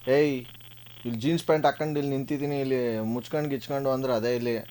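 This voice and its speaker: A man's voice: mid-range voice, steady tone, fast, mellow. A man with a southern Karnataka Kannada accent bickers in a colloquial, needling, aggrieved way, as a prank caller on the phone. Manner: colloquial, needling, feigned grievance.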